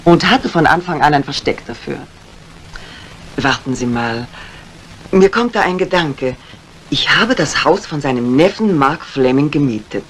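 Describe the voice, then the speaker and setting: A woman's voice: low-pitched, animated, a little slow. An actress playing a self-assured, inquisitive lady mystery writer in a retro radio crime drama. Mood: musing. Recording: noisy old radio recording.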